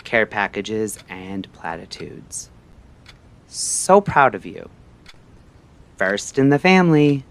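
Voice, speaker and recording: A man narrating a scripted story like a late-night radio host, in a measured, theatrical way with short phrases and pauses. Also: fairly low pitch, sing-song, fairly bright tone.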